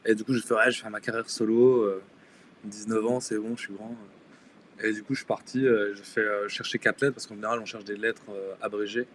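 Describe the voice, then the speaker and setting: A man's voice: fairly low pitch, flat delivery, a little fast, fairly bright tone, a little hiss or hum. A young man from Denmark, not a native French speaker, chatting as a guest on a live stream and talking hesitantly, with many fillers and restarts. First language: Danish. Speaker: guest illustrator and tattoo artist. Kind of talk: casual live-stream chat.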